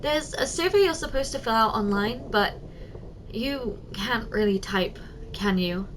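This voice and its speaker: A woman playing a giant, doting, teasing office secretary in an ASMR roleplay, speaking softly and coaxingly straight to the listener. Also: fairly high pitch, animated, unhurried, slight background noise, rough recording. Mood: helpful, attentive. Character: giant, doting, teasing female office secretary, tender toward tiny boss.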